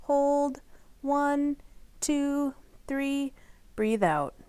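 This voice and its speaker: A woman reading aloud to children in a careful, deliberate storytelling manner. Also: high voice, dark tone. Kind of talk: children's read-aloud narration.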